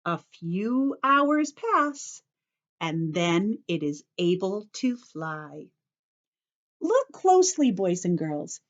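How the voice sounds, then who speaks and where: Slow, expressive woman teaching young children. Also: on the low side, dull audio. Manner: simple short phrases, addressing children directly, careful emphasis. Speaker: children's teacher reading a picture book. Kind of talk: story time for young children, read-aloud and explanation.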